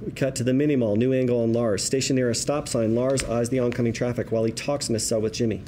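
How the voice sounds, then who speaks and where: A man narrates screenplay action as the stage-direction reader. His voice is medium in pitch with a soft, dark tone. A little noise sits behind him. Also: steady tone, fast talker.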